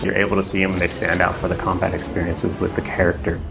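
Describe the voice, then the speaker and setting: A man's voice: sits low, completely flat delivery, quick pace, vocal fry. A man talking as a game developer in a promotional interview. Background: music bed.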